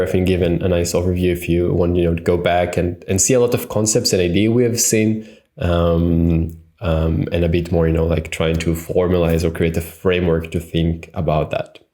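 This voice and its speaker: Israeli-accented man lecturing, low voice, roomy. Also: darkish tone. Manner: thinking aloud with frequent fillers and self-corrections. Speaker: tutorial instructor. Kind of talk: conference tutorial lecture. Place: home room, indoors. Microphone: webcam on a video call. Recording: roomy echo.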